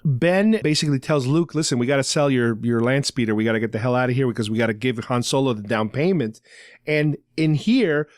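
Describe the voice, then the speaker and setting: A man's voice: medium pitch, fairly quick, no echo, clean studio sound. A man hosting a podcast, casually recapping in a conversational way with loose paraphrases of dialogue. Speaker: podcast host. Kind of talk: casual fan discussion, recapping a radio drama.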